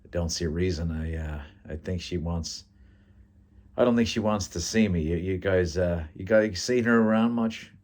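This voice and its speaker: A man's voice: low voice, normal speed, darkish tone, natural voice. A man with an American accent voicing a guarded, weary intelligence man talking to friends in a story. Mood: awkward.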